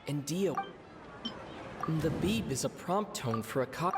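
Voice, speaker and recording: A man voicing a pensive young traveler in an anime video game, reasoning aloud in a deliberate, musing way. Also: on the high side, lively intonation, over music.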